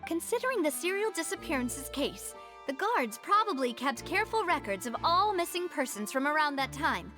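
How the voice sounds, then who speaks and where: A woman's voice: high voice, sing-song, moderate pace, over music. An actress playing a resolute young woman who leads a syndicate, speaking articulately in a video game courtroom drama. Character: resolute young woman, syndicate leader arguing for her dead father.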